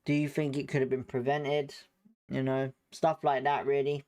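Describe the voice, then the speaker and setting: A British man hosting a football watchalong as a fan. He calls the play in an informal running style, speaking bluntly with plenty of slang and swearing, in a mid-pitched voice. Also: flat delivery, normal speed, a little mellow. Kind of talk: live match commentary, informal fan reactions.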